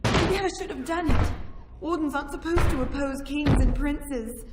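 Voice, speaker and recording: A woman's voice: high voice, normal speed, lightly acted. An actress playing a frightened female rebel warden who speaks in panic. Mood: panicked. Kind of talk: video game cut-scene acting. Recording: roomy with loud music.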